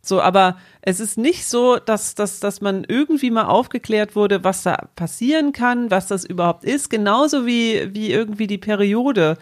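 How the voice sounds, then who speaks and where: A woman's voice: mid-range voice, expressive, normal speed, few pauses. A woman, explaining things in a casual, colloquial way as a co-host. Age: 40s. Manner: informal, colloquial with some English slang.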